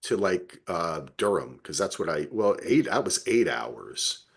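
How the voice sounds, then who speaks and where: A middle-aged American man from New Jersey chatting casually as a podcast co-host, telling things in an animated, profane way. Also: low-pitched, unhurried, gravelly, breathy edge. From New Jersey, United States. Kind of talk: casual TV recap chat.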